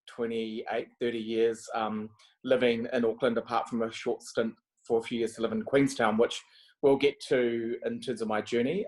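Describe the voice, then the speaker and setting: Flat-toned New Zealand man, halting. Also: mid-range voice. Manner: halting, many filler sounds. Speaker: panelist. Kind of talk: video call panel introduction. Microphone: video call.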